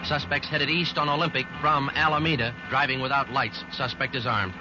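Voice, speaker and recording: An actor playing a terse, matter-of-fact police sergeant in a 1940s radio drama. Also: male voice, mid-range voice, fairly even intonation, brisk, close to an everyday voice. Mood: urgent. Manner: clipped, matter-of-fact, understated. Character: terse, businesslike police sergeant narrating his cases. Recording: dull old radio recording with music behind.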